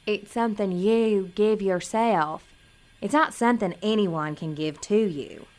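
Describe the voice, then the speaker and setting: A woman playing an innocent, earnest small-town pageant girl in an audio drama, self-doubting and gentle. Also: medium pitch, unhurried, close to an everyday voice. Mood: earnest, consoling.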